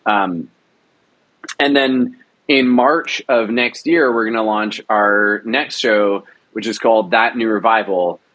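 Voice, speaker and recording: Male interview guest, producer type. Fairly deep, very dry sound, casual halting explanations. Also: fairly bright tone, no room sound, low-quality recording.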